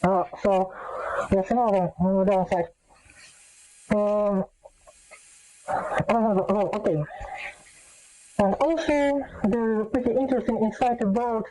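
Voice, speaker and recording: A woman talking casually and unscripted as a video host, explaining things in a halting way with frequent filled pauses. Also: fairly high pitch, warm tone, low-quality recording.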